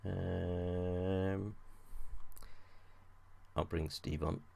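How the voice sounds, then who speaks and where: A man's voice: sits low, level tone, very slow, vocal fry, mellow. A man hosting a live online audio show, chatting casually and loosely with many ums and restarts as he brings guests on.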